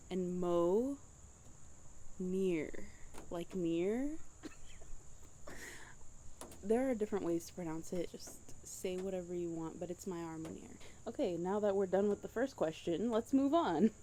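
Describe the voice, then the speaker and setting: A woman's voice: on the low side, mellow, a little hiss or hum. A young woman from the United States, a singer hosting a casual Q&A vlog at home, chatty and giggly with plenty of fillers. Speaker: singer and channel host.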